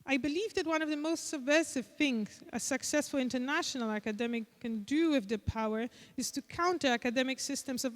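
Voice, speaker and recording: A woman with a Polish accent, a conference speaker, reading a prepared lecture in a measured, deliberate way. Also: a little high, long reverb tail. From Poland. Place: large conference hall.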